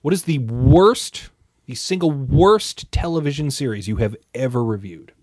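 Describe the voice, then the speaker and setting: A man's voice: mid-range voice, lively intonation, normal speed, a little mellow, no echo. A man co-hosting a podcast, interviewing casually with wry, playfully formal phrasing. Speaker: podcast co-host. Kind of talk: casual quick-fire interviewing.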